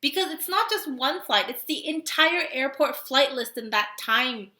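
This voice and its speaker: A woman's voice: high-pitched, lively intonation, measured pace, sharp tone, reverberant. A young woman from Korea telling stories in a casual podcast chat, full of "like" fillers and laughter. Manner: chatty storytelling with frequent laughs and "like" fillers. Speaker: podcast co-host.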